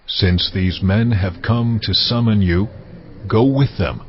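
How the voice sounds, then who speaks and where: An actor playing God in a dramatized audio Bible, solemn, measured and authoritative. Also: male voice, lowish voice, slight background noise. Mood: solemn command. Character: God, solemn, commanding, unhurried divine male voice. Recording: muffled audio under prominent music.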